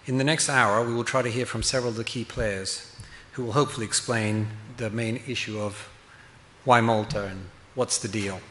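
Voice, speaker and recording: A man's voice: on the low side, fairly even intonation, a bit bright. A man hosting a conference event, formally introducing the evening's speaker from a podium. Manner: formal, measured with filler pauses. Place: large hall with chandeliers. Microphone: podium microphone. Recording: reverberant hall with faint hiss.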